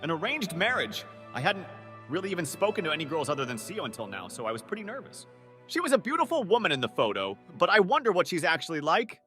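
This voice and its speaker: Manga dub lead: male actor as earnest lovesick young man, bright and high, anxious inner monologue, music behind. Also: big pitch swings, fast, big-room sound. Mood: anxious, pondering. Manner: earnest, self-doubting inner monologue with startled outbursts. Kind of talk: animated comic dub with first-person narration. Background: background music.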